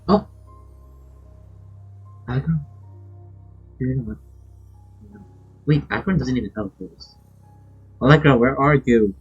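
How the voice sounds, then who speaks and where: A man's voice: mid-range voice, slow, long pauses, slightly rough. A man live-streaming a video game, reacting casually in short exclamations. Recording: dull, muffled audio with game music. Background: game music.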